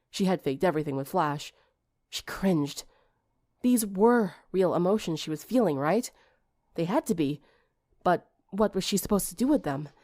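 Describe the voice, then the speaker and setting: A woman reading a story aloud as an audiobook narrator, in an expressive scripted style. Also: lowish voice, no room sound.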